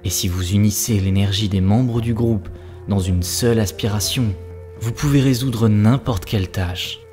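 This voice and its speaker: A man's voice: lowish voice, moderate pace, dark tone, faint music behind, close to an everyday voice. A man playing an earnest, devoted young spiritual seeker in love, in solemn cartoon dub dialogue. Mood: earnest, preaching.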